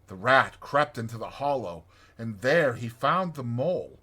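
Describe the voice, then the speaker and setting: A man reads a storybook aloud as narrator. His phrasing is measured and dramatic, with animated pitch. The voice is crisp-edged and forward, with some huskiness. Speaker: audiobook narrator.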